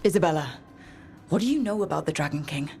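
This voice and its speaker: Resolute, wry game heroine: lowish voice with faint music underneath. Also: female voice, lively intonation, fast talker, vocal fry, mellow. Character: resolute, wry heroine leading her team. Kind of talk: video game cutscene acting.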